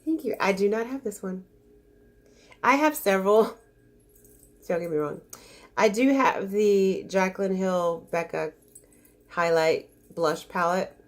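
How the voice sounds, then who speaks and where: A woman's voice: medium pitch, slow, long pauses. Stuffy-nosed woman, casual beauty vlogger, gushing and chatty. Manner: chatty, gushing, easily disappointed. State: congested. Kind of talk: casual unboxing chat.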